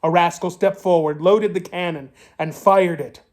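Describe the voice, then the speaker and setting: A man narrating a children's storybook in a theatrical, deliberate way. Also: high-pitched, fairly even intonation, darkish tone. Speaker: storybook reader.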